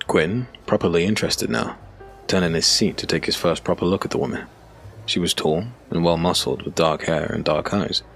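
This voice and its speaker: A man reading scripted dark fantasy narration in a measured, dramatic, gravelly voice. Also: low-pitched, steady tone, faint music behind. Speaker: audiobook narrator.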